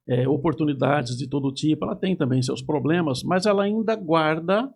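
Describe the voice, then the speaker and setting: Gravelly middle-aged man from Campinas. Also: on the high side, a little mellow. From Campinas, Brazil. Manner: reminiscing, measured, with frequent restarts. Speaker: guest, TV news anchor. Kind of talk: casual interview chat.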